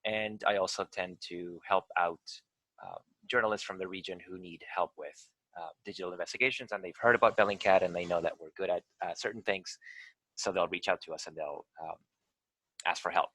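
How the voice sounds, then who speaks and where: A man's voice: low-pitched, fairly even intonation, fairly quick, a bit bright, no room sound, poor audio. A man from Venezuela, an investigator, leading a live online tutorial over a video call, talking with many ums and uhs.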